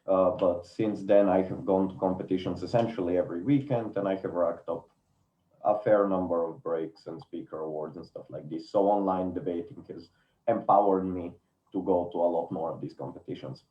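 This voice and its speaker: A man's voice: low-pitched, steady tone, normal speed, reverberant, highs cut off. A man from Bulgaria introducing himself on a panel with dry understatement. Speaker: debate panelist.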